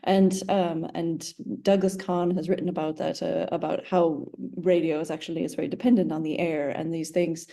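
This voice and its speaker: A woman's voice: sits low, flat delivery, moderate pace, creaky, hardly any pauses, dark tone. A woman thinking aloud in an academic discussion, speaking in a tentative, rambling way with many hesitations.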